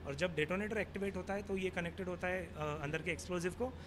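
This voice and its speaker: A man's voice: sits high, steady tone, fast. A man with an Indian accent explains and demonstrates as a technical expert, mixing Hindi with English technical phrases. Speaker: technical expert being interviewed. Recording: clearly noisy on-location ambience.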